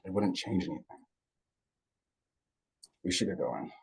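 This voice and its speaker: A man's voice: low voice, animated, measured pace, gravelly, slight background noise, heavily acted. An actor playing a weary, guarded man in his early twenties in a live script read. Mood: subdued. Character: bruised, weary, guarded 21-year-old man.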